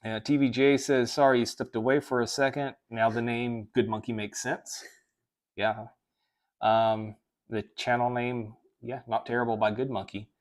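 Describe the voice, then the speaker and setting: A man's voice: mid-range voice, flat delivery, normal speed. A man with a Southern US accent hosting a casual tech livestream, rambling, correcting himself and reading viewer chat aloud. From American South. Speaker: livestream host. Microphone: desk microphone.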